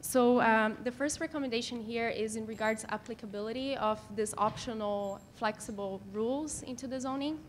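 A woman in her thirties giving a formal, explanatory slide presentation at a podium microphone in a public meeting room, speaking a little haltingly. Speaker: city planner presenting. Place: meeting room in a civic building. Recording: clear background noise with low rumble.

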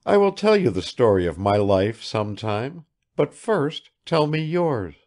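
A man's voice: mid-range voice, sing-song, unhurried, a little mellow, pitched above the performer's own voice. A man voicing a proud, world-weary young aristocratic woman in a languid, searching manner for an audiobook. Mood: challenging, curious. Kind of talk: dramatised literary audiobook dialogue.

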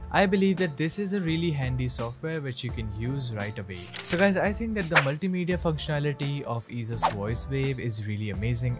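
A man narrating a scripted software tutorial in an upbeat YouTuber manner. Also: mid-range voice. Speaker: YouTube tech reviewer. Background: music behind the voice.

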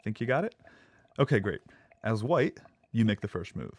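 An actor playing a nervous, devoted lab assistant in an audio drama, speaking earnestly and with hesitant stammers. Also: male voice, lowish voice, big pitch swings, normal speed, no echo. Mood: patient, gentle.

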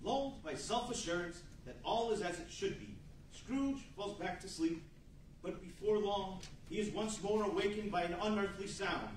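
A man's voice: high-pitched, moderate pace, a little hiss or hum. A man narrating a scripted radio play as its storyteller. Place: large indoor meeting room, live stage reading. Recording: very echoey room, distant mic.

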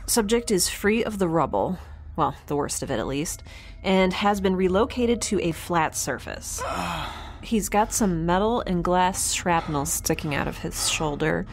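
A woman playing a young, geeky, kindhearted space scientist in an audio drama, recording an informal log in a halting, self-correcting way. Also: on the low side, lively intonation. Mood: concerned, reassuring.

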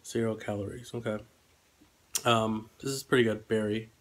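A man's voice: on the low side, flat delivery, moderate pace. A young man with a slightly congested voice, chatting casually and hesitantly as he rambles through a vlog. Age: young adult. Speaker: vlogger. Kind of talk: casual eating vlog chat. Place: small room indoors at home.

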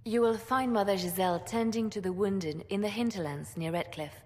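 An actress playing a poised female ambassador who speaks in a gracious, measured, formal way. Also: mid-range voice, a little mellow, in a large hall, natural voice. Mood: composed. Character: poised female court ambassador, polite and diplomatic.

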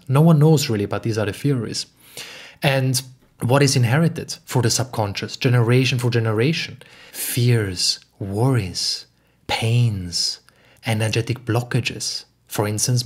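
A young man from Austria speaking in an earnest, emphatic way, slowly repeating phrases as he talks alone to the camera at home. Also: medium pitch, husky. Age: young adult. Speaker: podcast host and spiritual teacher. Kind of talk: reflective solo talk. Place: home living room.

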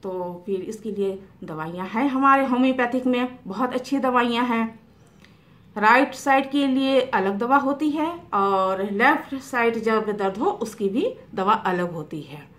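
A middle-aged woman homeopathic doctor, explaining remedies to camera in an unhurried way with many fillers, in a small room. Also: a little high, fairly bright tone. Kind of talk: health advice talk to camera. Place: small indoor room by a curtained window.

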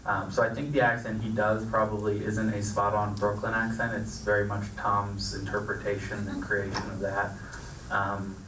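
A man with a low, level voice talks through a handheld mic on a theater stage, measured and careful with frequent restarts. The sound carries echo and comes from some distance off, with steady noise underneath. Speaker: film producer. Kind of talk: panel Q&A answers.

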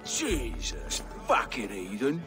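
Aggressive saxon brawler in a game, wild pitch swings, loud music behind. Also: male voice, wildly swooping pitch, takes it slowly, heavily acted. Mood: baffled, disgusted. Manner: aggressive, taunting. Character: belligerent, foul-mouthed Saxon tavern brawler. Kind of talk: video game cutscene acting. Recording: reverberant room, loud music.

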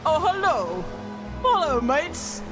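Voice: sits high, big pitch swings, slow pace, full character voice. Someone doing a silly rambling pirate guy in a comedy dub, takes their time, music under it. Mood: cheeky, daft. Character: daft, rambling, cheerful male pirate captain adrift. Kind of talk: improvised comedy fan dub.

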